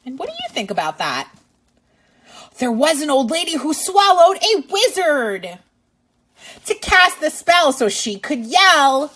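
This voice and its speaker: A woman's voice: high-pitched, big pitch swings, a little slow, fairly bright tone. A woman reading a storybook aloud to young children as a teacher and host, with exaggerated expression and asides to the listener.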